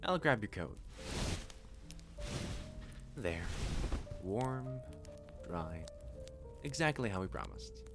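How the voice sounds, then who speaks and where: A man as a low-voiced, playfully doting catboy waiter. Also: sing-song, takes it slowly, pauses often, music in the background, exaggerated voice. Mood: warm, reassuring.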